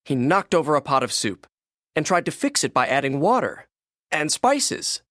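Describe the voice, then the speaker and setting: A man narrating a children's read-along storybook in an expressive, scripted storytelling manner. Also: on the high side, moderate pace, no room sound, clean studio sound.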